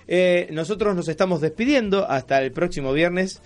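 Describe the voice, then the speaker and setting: A man's voice: high-pitched, animated, normal speed. An Argentine man hosting a radio magazine show with casual chat.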